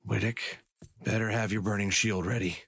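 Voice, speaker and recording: A man's voice: on the low side, fairly even intonation, moderate pace, vocal fry, fairly bright tone, husky, dry recording, heavily acted. A man playing a practical, wary adventurer with a dry manner in an audio drama. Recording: music behind the voice.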